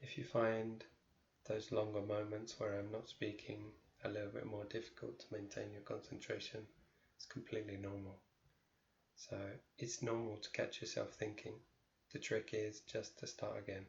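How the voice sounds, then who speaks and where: A man guiding a meditation as a teacher, speaking in short, measured phrases with pauses between them. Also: lowish voice, monotone, vocal fry, roomy sound, muffled recording. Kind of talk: guided meditation.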